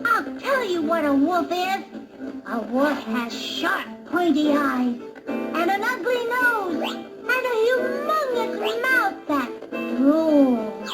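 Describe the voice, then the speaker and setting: A cute young cartoon goat talks in a tiny, extremely high voice with a lot of bounce. The words come very slowly, sweet and coy with a teasing edge, over loud music. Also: female voice, very animated, exaggerated voice, low-quality recording. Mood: playful. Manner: childlike, coy, deliberate. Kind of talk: animated cartoon dialogue.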